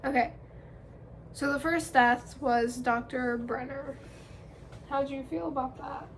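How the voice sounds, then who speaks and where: Congested young woman vlogger, high, unhurried, casual rambling chat. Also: steady tone, a little hiss or hum, poor audio. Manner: chatty, rambling with fillers. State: congested from a cold.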